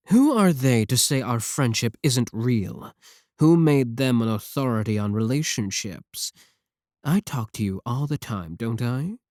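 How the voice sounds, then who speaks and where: Man performing a possessive male yandere streamer, slow delivery, animated pitch swings, needy and defensive, intimate ASMR roleplay. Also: dry recording, clean studio sound. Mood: indignant, then needy insistence. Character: clingy, possessive yandere male VTuber pleading with a viewer.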